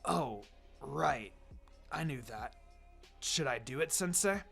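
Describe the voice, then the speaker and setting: A man's voice: medium pitch, animated, slow, gravelly, a bit bright, faint music behind, voice pushed down, heavily acted. A man voicing a naive, blurting young boy ninja in a story reading. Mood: confused, sheepish.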